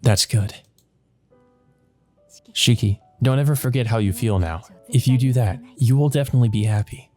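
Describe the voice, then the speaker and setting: A man reads the part of a woman wizard who mentors a child in a visual novel. The delivery is calm, confident and reassuring, like a lesson being given. Music runs behind it. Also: medium pitch, normal speed, pauses often, natural voice. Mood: calm instructing.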